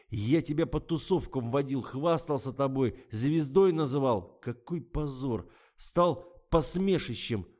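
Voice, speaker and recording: Guy doing a raging rich boyfriend, loud high shouty tirade, sneering. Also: lightly acted. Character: furious scornful young man, rich lover.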